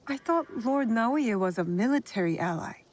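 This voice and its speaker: A woman's voice: a little high, lively intonation, moderate pace. An actress playing a guarded, wry young female shinobi of feudal Japan in a video game, cool and direct. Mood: polite, guarded. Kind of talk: video game cutscene acting.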